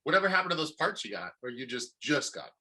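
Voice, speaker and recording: A man's voice: on the high side, big pitch swings, fast, pushed and bright, rough recording. A man in a casual podcast chat, curious and asking probing questions.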